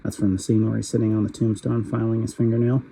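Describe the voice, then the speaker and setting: A man narrating a toy figure review, a little raspy from a cold, in a steady, matter-of-fact way. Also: on the low side, fast talker, slight background noise. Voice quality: husky. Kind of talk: calm voice-over product review.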